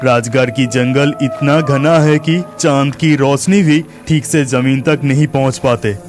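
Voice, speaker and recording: An actor playing a brave but anxious young village lad, thinking aloud dramatically in a cartoon horror story. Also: male voice, medium pitch, steady tone, a little slow, over music, natural voice. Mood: uneasy.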